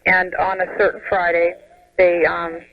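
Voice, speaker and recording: An American woman phoning a radio show, explaining her situation in a casual, earnest, slightly halting way. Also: on the low side, little pitch movement. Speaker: caller, home daycare operator. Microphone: telephone. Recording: muffled phone line on radio.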